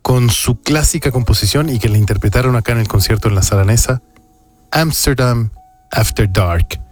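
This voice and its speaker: A man's voice: medium pitch, moderate pace. A man, hosting a jazz radio show with casual, reflective, opinionated music commentary. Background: music playing behind the voice.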